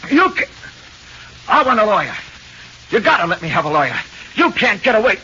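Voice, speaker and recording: A streetwise American hoodlum in a 1940s radio play, cocky and defiant. His voice is forceful and slangy, on a dull old recording with faint noise. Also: high voice, very animated, fairly quick, heavily acted. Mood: alarmed, protesting. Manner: slangy, tough-guy talk. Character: cocky male small-time crook, streetwise and defiant. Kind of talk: 1940s radio drama acting.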